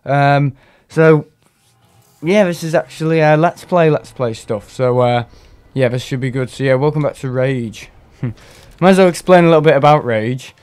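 A man hosts a live game stream with a hoarse, worn voice from a cough. He rambles on in loose, casual commentary, often stopping to clear his throat. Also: medium pitch, normal speed, darkish tone, soft background music. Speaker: gaming streamer.

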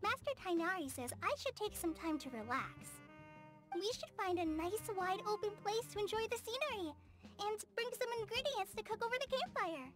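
Shy, very high-pitched teenage girl. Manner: hesitant, stammering, apologetic. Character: shy, earnest, eager teenage girl ranger trainee. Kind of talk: video game character dialogue.

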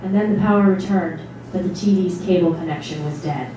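A woman's voice: lowish voice, a little fast. A woman giving a dramatic reading of literary narration on stage. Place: small indoor theatre stage before an audience. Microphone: distant camera microphone. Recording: echoey hall, far microphone, noisy.

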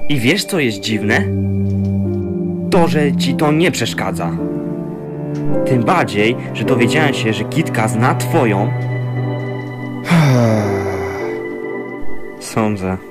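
Man acting a slow-spoken, wounded young hero. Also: medium pitch, lively intonation. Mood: hurt, bitter. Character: wounded, distrustful teenage masked cat hero. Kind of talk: dramatic comic dub acting. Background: sad cinematic music.

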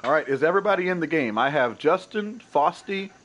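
A man's voice: on the high side, animated, brisk, fairly bright tone, dull audio, slight background noise. Congested man hosting a golf stream. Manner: upbeat, welcoming, talks through his own shots. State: nasal, congested from a cold. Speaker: stream host. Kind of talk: casual game commentary. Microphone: gaming headset.

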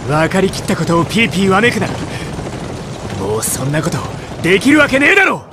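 A man's voice: high-pitched, wildly swooping pitch, fairly quick, noisy background. A man voicing a blunt, commanding military captain who barks orders in an anime game. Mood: scornful, stern. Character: curt, commanding, hard-edged male military captain.

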